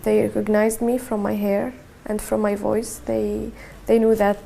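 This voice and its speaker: A young woman, her voice mellow and touched with vocal fry. She talks in a flat, halting way, restarting phrases as she recounts her own story to camera. A faint hiss of noise sits under the recording. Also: medium pitch. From Syria. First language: Arabic. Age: early 20s. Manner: halting, soft-spoken, many restarts and fillers. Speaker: activist telling her story. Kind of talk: personal testimony to camera.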